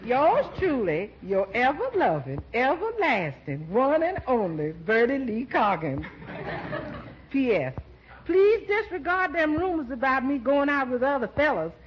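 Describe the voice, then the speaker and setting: A woman's voice: high-pitched, sing-song, measured pace. An actress playing a sassy, good-humored, outspoken household woman with folksy comic delivery in old-time radio comedy. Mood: playful, mock-scolding. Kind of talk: 1940s radio comedy acting. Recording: muffled 1940s radio recording, faint noise.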